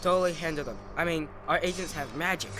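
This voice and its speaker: A man's voice: on the high side, fast, lightly acted. A man playing a naive, slightly clueless companion in an amateur audio drama. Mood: naive, sheepish. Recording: echoey hall with music.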